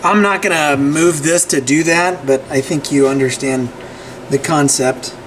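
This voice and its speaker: A man with a slightly high voice walks through a repair at his workbench, loose and conversational, often stopping to ask "right?" and restarting his sentences. A faint hum of room noise sits under his voice. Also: measured pace. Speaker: repair channel host. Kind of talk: casual repair tutorial.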